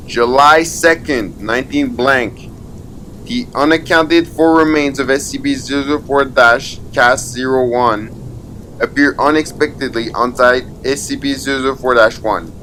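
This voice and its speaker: A man reads a scripted archive log aloud in a formal, matter-of-fact way, with slight stumbles. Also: fairly bright tone. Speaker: channel narrator reading a document aloud. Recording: clearly noisy recording with low rumble.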